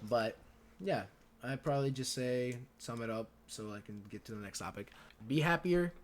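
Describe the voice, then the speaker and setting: Male podcast co-host, late teens, average pitch, loose repetitive slangy chat, slightly noisy ambience. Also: normal speed. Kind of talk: casual chat.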